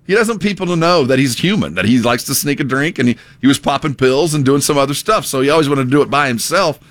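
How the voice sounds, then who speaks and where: A middle-aged man talking in loose, joking banter, with a pitch that swings widely. The sound is dry and close, with no room around it. He hammers his points in a folksy way. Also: expressive, fast talker, a bit bright, no echo. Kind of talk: casual banter.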